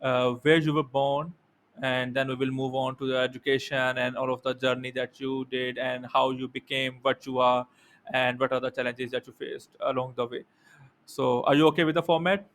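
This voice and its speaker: Even-toned man interviewing, non-native English. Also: mid-range voice. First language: not English. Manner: halting, repeats words, courteous. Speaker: podcast host, interviewer. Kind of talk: formal conversational interview. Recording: faint background noise.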